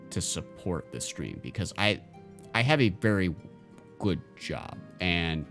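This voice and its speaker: A male streamer in casual live-stream chat, answering viewers in a halting, think-aloud way. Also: low voice.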